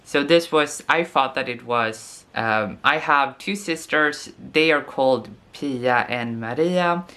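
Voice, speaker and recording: A young Swedish man speaking English with a Swedish accent in a slow, unhurried way. He thinks aloud and sounds out unfamiliar words with care, like a language teacher chatting to his viewers. His voice sits at an ordinary middle pitch. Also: bright, forceful tone. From Sweden. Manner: slow and musing, sounds out foreign words tentatively. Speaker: language teacher and video host. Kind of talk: casual talk to camera, thinking aloud.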